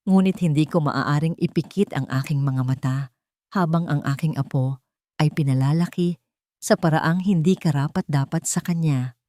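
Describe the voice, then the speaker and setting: A woman's voice: sits low, moderate pace, warm tone, dry recording, studio quality, close to an everyday voice. A woman narrator voicing a stern, controlling older grandmother in a dramatic story, speaking formally and firmly. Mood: calm but hard. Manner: formal, firm, haughty.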